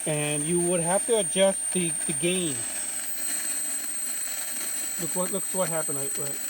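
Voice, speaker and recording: A man's voice: fairly high pitch, a little slow, noisy background. A man giving an informal hands-on tutorial as a hobbyist, often repeating phrases as he explains. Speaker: radio repair hobbyist demonstrating.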